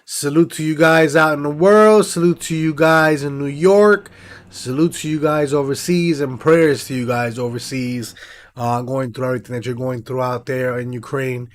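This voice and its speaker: A young man with a congested, nasal voice hosting a casual post-game sports stream, giving shout-outs and running through stats with plenty of fillers. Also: mid-range voice, moderate pace. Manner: hype, shout-outs, stat rundown with fillers. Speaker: sports podcast host.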